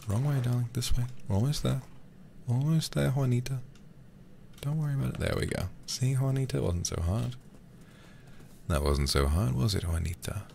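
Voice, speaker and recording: A man livestreaming a game in a soft, casual ASMR style, murmuring to himself and often slipping into whispers on a close mic. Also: medium pitch, normal speed, creaky, a little hiss or hum. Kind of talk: soft, casual ASMR gaming stream chat.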